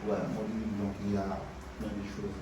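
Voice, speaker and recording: A man's voice: lowish voice, monotone. A man from Kinshasa, talking hesitantly as a guest musician in an interview. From Kinshasa, Congo. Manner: hesitant, halting, many fillers. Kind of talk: casual interview talk. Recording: distant echoey noisy remote line.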